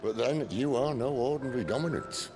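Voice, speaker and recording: An actor playing a wry, middle-aged rebel leader in a dramatic video game, dry and teasing in manner. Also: male voice, mid-range voice, fairly quick, close to an everyday voice. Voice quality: gravelly. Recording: loud music under the voice.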